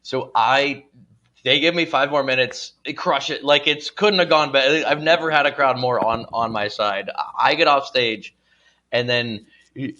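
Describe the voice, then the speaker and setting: A male comedian with a strong stutter tells a casual story in a bright, forward voice at a middle pitch. His delivery halts and repeats on sounds, with a chuckle in it, and the recording is slightly dull. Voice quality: pronounced stutter. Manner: halting, repeats sounds and words, chuckles while talking. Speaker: guest stand-up comedian. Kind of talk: casual comic storytelling on a podcast.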